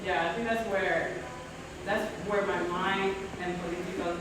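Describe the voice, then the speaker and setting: A woman on an artists' panel, switching between English and Spanish in halting phrases. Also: fairly low pitch, fairly even intonation. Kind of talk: reflective panel discussion. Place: exhibition space, indoors. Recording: echoey room, distant from mic, noise.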